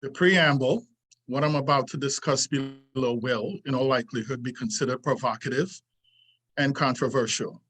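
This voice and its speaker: A man, reading a prepared essay aloud in an earnest, deliberate way, pausing and going back over lines. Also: mid-range voice, a bit bright, breathy edge, low-quality recording. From Trinidad. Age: 60s. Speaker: martial arts teacher.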